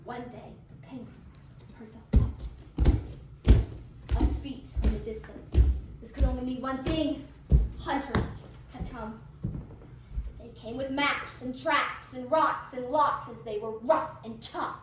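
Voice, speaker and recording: A woman's voice: high-pitched, steady tone, slow pace, pauses often. A woman narrating a scripted children's storybook as part of a readers' theater. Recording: echoey room, distant, faint noise.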